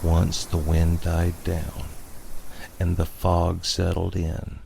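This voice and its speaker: A man narrating a scripted spooky tale in a measured, dramatic storyteller's manner. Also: low voice, monotone, creaky, background noise. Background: music.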